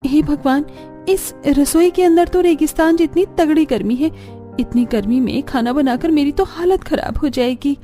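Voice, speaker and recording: A woman's voice: sits high, moderate pace, warm tone, faint music behind, lightly acted. A woman voicing a young new daughter-in-law in a cartoon story, flustered and given to dramatic grumbling. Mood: exasperated. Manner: dramatic, complaining. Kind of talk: Hindi cartoon story acting.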